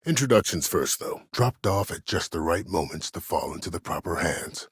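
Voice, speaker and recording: An older military mastermind for a video game, with an extremely low, husky voice. He speaks formally and with measured, silky politeness that carries quiet menace. The sound is dry and close. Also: lively intonation, dry recording, full character voice. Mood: measured, ominous. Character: calculating, courteous, menacing older male military schemer. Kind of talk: dramatic video game voice acting.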